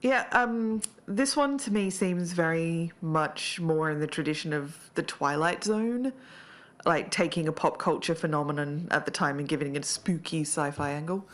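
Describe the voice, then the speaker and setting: A woman playing a measured, sceptical co-host of an investigative podcast. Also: low voice, fairly bright tone. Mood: cautious, sceptical.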